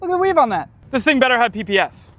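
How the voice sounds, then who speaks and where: A man's voice: very high voice, very animated, rapid-fire, fairly bright tone. A man vlogging at a car week, enthusiastic and exclaiming over the cars he spots. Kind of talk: excited car-spotting vlog.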